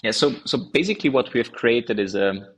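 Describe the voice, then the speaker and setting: A man's voice: mid-range voice, animated, fairly quick, breathy edge. A man in his thirties from Hungary, a company founder answering interview questions in an explanatory way.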